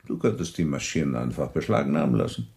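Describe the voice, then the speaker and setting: An actor in a German radio drama playing a measured, scheming industrial director who phrases things deliberately. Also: male voice, a bass voice, sing-song, gravelly, a little mellow, heavily acted. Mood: guarded, persuasive.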